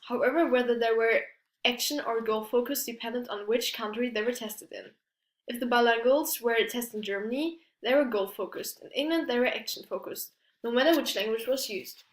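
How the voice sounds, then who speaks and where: A woman giving a prepared academic presentation as a student, halting and stumbling over her words. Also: on the high side. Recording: echoey room.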